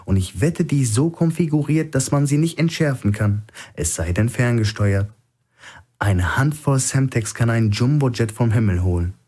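A man's voice: mid-range voice, expressive, normal speed, dark tone, pitched above the performer's own voice, natural voice. Shrewd male hostage for thriller audiobook, played by a male narrator: raised voice, insistent, needling. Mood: insistent, reasoning. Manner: insistent, reasoning, provoking. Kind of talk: audiobook character acting.

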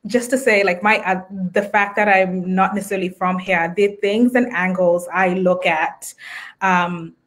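A woman's voice: medium pitch, steady tone, moderate pace. A woman from Zimbabwe with a Zimbabwean accent, speaking as a guest in a casual video-call interview, thoughtful with fillers and restarts. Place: indoor room at home.

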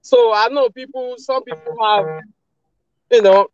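A Nigerian man in his thirties talking casually as a guest on a live video call, relaxed, with many "you know" fillers. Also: very high voice, a little slow, smooth, no room sound, lo-fi sound. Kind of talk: casual testimonial. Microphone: phone video call.

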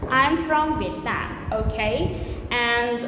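A woman's voice: on the high side, drawn-out words. A woman in her thirties from Belarus teaching English, asking simple short questions and repeating answers encouragingly. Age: 30s. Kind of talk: spoken English drill with a student. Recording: echoey room with loud ambient noise.

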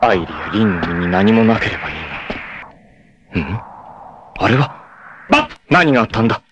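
A man voicing a proud, fierce young male martial artist in a 1980s anime, who moves from measured calm to raging shouts. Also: lowish voice, highs cut off, slight background noise. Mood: grim, resolute. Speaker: anime voice actor. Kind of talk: 1980s anime dub acting.

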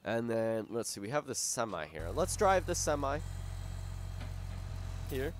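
A young man with a hoarse, fading voice chats through a gameplay session at his desk microphone. His pitch sits in the middle, and he rambles with frequent ums and restarts. A faint hum of vehicle noise runs underneath. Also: big pitch swings, measured pace. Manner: rambling, full of fillers and restarts. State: hoarse, losing his voice from a sore throat. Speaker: gaming YouTuber. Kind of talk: casual gameplay commentary. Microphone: desktop microphone.

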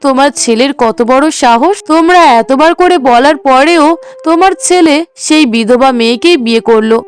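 A high female voice plays a resentful sister in a cartoon drama, acted by a woman narrator. She sounds indignant and judgmental, with a gossipy way of talking. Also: normal speed, natural voice. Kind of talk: melodramatic cartoon acting.

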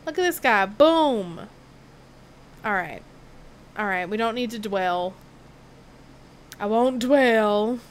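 A woman's voice: mid-range voice, lively intonation, a little hiss or hum. Woman in a put-on Southern drawl. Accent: put-on Southern American drawl. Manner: playful, drawn-out words, swears casually. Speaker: streamer. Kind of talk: casual gameplay livestream chat.

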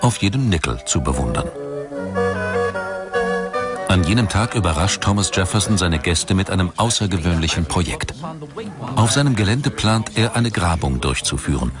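A man reading scripted documentary narration in a measured, dramatic storytelling manner. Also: low-pitched, lively intonation. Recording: music under the voice. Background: background music.

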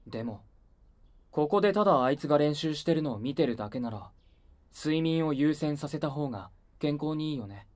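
A man's voice: a little high, lively intonation, normal speed, darkish tone, no room sound, natural voice. A man playing a sleepy, aloof, matter-of-fact teenage boy idol in an anime-style voice drama, languid and unbothered. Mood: sleepy, indifferent.

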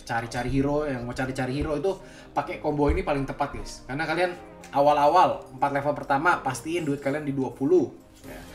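A young man giving casual, slangy gameplay commentary, explaining things as he goes and often addressing his viewers. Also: on the high side. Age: young adult. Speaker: gaming video creator and commentator. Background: music.

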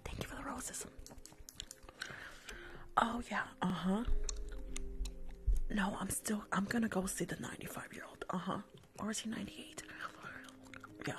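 A woman's voice: mid-range voice, a little slow, long pauses, lightly acted. A woman whispering an ASMR roleplay as a rude young nail technician who gossips on the phone mid-job and pops her gum. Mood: catty. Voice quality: soft whisper. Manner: catty, dismissive, chatters on the phone mid-job.

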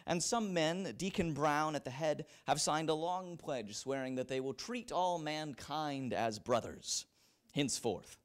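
A young man giving a dramatic reading of old letters, with a wry, literary delivery, on a handheld microphone in an event room. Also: medium pitch. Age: young adult. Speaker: reader at a library event. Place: indoor event room with small audience.

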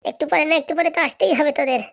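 A high-voiced, bossy village woman from a comic cartoon, played by a woman. She talks very quickly, scolding and scheming like someone set on getting her money. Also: gravelly. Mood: indignant scolding. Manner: scolding, demanding, haggling.

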